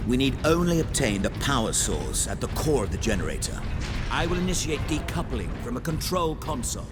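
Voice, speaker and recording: An actor playing an imperious inquisitor in a video game, giving formal, commanding instructions with a theatrical manner. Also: male voice, medium pitch, very animated, fairly quick, music in the background, natural voice. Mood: measured, informative. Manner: formal, clipped orders, theatrical. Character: imperious male inquisitor, urgent, technically knowing, commanding.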